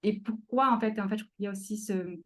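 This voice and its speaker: Woman, slight Canadian French accent, creative coach, relaxed hesitant interview talk. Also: medium pitch, fast talker. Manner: hesitant, many fillers and restarts. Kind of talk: casual conversational interview.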